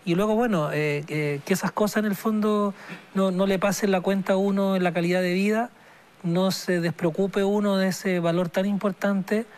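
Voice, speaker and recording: High-voiced guy in his 40s, slow and reflective, lots of restarts. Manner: slow, thoughtful, halting with many restarts. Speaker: singer-songwriter guest. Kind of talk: reflective interview talk.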